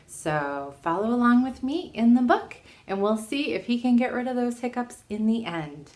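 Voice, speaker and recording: A woman reading a children's storybook aloud at storytime, expressive and often putting questions to her young listeners. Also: fairly high pitch, normal speed.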